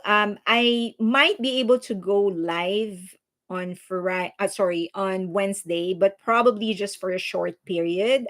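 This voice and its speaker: A woman's voice: medium pitch, a bit bright. A woman from the Philippines with a Filipino accent, hosting a casual livestream and answering viewer comments in a chatty, earnest way. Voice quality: chatty, earnest, frequent restarts and fillers. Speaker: livestream host.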